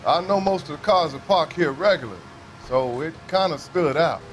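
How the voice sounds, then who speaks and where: Male actor for folksy railway worker witness, plain-spoken and colloquial, slightly high voice, music behind. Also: normal speed, natural voice, rough recording. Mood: matter-of-fact. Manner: folksy, colloquial, matter-of-fact. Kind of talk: cinematic game acting.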